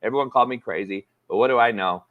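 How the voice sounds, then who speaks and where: A man's voice: medium pitch, quick pace. A man in his forties co-hosting a casual sports talk show, needling his partner and repeating himself for emphasis. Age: 40s. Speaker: co-host. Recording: slightly dull lo-fi sound.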